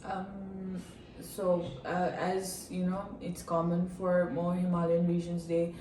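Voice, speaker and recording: A woman's voice: fairly low pitch, monotone, takes it slowly, dark tone, a little hiss or hum. A young woman from India whose first language is Nepali, thoughtfully answering interview questions with many fillers. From India, Darjeeling. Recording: echoey room, from a distance.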